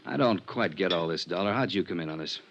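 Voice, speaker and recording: An American actor playing a big, capable police lieutenant with plain, probing talk in a 1950s radio drama. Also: male voice, sits low, expressive, fast talker, fairly bright tone, highs cut off. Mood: probing, matter-of-fact. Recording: vintage radio recording with hiss.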